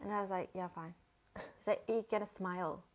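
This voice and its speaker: A woman in her thirties co-hosting a podcast, chatting casually as an animated storyteller who laughs often. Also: mid-range voice, quick pace. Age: 30s. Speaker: podcast co-host. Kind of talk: casual chat.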